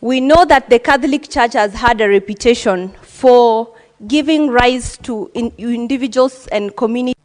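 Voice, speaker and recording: A woman's voice: fairly high pitch, moderate pace. Earnest woman senator, echoing chamber. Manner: earnest, with frequent hesitations. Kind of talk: formal parliamentary speech. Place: legislative chamber.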